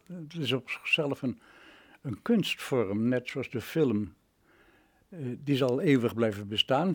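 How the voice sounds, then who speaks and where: A man, speaking reflectively and haltingly as an interview guest, often agreeing emphatically. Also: mid-range voice, a little mellow. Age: nineties, almost a hundred. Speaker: interview guest, retired radio drama director. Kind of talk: reminiscing interview conversation.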